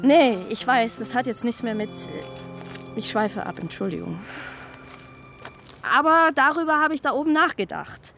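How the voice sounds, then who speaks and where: A woman's voice: fairly high pitch, animated, measured pace, vocal fry, pauses often, a bit bright, faint music behind, heavily acted. An actress playing a scatterbrained, self-justifying woman in a naturalistic radio drama monologue, rambling and questioning herself as she goes. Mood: apologetic, digressing.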